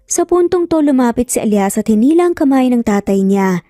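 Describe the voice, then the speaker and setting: A woman's voice: a little high, lively intonation, brisk, mellow, no room sound, clean studio sound. A woman giving a scripted, dramatic narration as a storyteller. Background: music.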